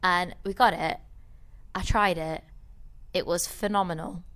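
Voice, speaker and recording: A woman's voice: lowish voice, fairly even intonation, unhurried, fairly bright tone. A woman co-hosting a podcast, telling a rambling story in casual chat, with many restarts. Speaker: podcast co-host.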